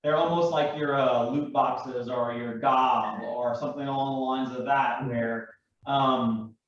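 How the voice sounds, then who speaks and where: A man's voice: mid-range voice, fairly even intonation, unhurried. A man chatting casually and enthusiastically as he explains game rules, rambling and correcting himself. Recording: echoey room, distant mic, muffled sound.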